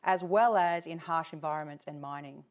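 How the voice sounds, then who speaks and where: A woman's voice: sits low, normal speed, muffled recording. A middle-aged woman with an Australian accent giving a conference presentation as an invited company executive.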